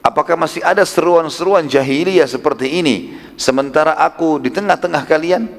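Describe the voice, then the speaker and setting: A man's voice: a little high, fairly quick, vocal fry. A man preaching a religious lecture, conversational and emphatic, with rhetorical questions and casual asides. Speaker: Islamic preacher. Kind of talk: religious lecture retelling prophetic history. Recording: long hall reverb.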